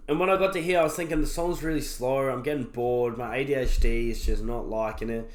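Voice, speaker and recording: A young man with a croaky voice, recovering from being sick, casually reviewing an album and rambling with lots of fillers. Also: mid-range voice, steady tone, fairly quick. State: croaky voice after illness. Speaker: music reviewer. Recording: roomy echo.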